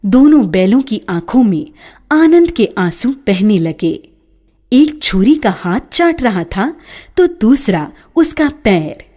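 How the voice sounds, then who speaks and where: Slow, lilting woman storyteller. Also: medium pitch, big pitch swings, warm tone. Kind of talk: scripted storybook narration.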